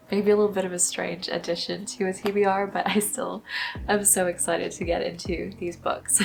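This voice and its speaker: Young woman, low voice, vocal fry, chatty book vlogger, laughs a lot, soft music underneath. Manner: chatty, laughs often, upbeat. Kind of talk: casual chat to camera.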